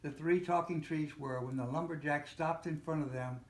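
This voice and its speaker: A man's voice: on the high side, fairly even intonation. Older man reading a storybook, roomy sound. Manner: halting reading with small slips and self-corrections. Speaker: parent reading a storybook aloud. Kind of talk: storybook read-aloud. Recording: roomy, echoey home recording with faint noise.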